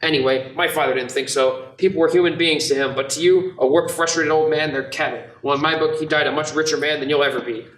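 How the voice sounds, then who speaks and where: A young man playing an earnest, restless small-town dreamer with halting, insistent delivery in an amateur radio play. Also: on the high side, fairly even intonation, fairly bright tone, natural voice. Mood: indignant. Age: young adult. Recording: echoey room, distant mic, some noise.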